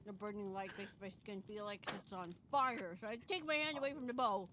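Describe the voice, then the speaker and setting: A woman's voice: medium pitch, fairly quick, background noise. A woman reading a visual novel's first-person narration aloud on a stream, with casual asides.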